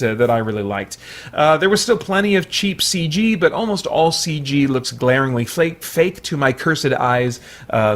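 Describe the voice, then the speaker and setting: A man hosting a casual, unscripted film review, speaking conversationally and thoughtfully, often pausing to qualify what he says. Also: mid-range voice, a bit bright. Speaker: host of a film review channel.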